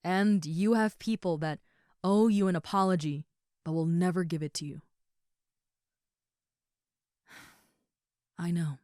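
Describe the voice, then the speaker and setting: A woman plays a towering, muscular lady ogre with a tender heart. She speaks very slowly and earnestly, sometimes hushed, in a slightly low voice. It is an intimate comfort roleplay, recorded close and dry. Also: fairly even intonation, no echo, natural voice. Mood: tender, sincere. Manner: soothing, earnest, hushed at times, with pauses and sighs. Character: gentle, caring seven-foot-six muscular female ogre roommate.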